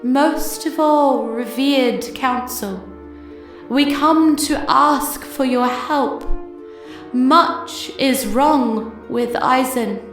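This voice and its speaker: A woman's voice: high-pitched, echoey room, voice pushed up. A woman voicing a regal female sea creature with a melodic voice, solemnly pleading for help. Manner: slow, solemn.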